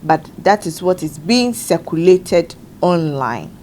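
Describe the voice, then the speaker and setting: Woman talk show host, measured explainer, slight background hiss. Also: on the low side, expressive, darkish tone. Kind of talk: studio panel discussion.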